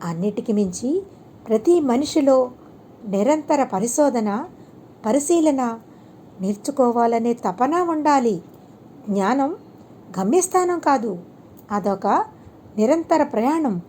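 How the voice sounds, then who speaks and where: Woman reading as a serene, mellow wise holy man. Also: fairly high pitch, a little hiss or hum. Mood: solemn, advising. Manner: calm, deliberate, preaching with rhetorical questions. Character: serene, wise male holy teacher, gently admonishing.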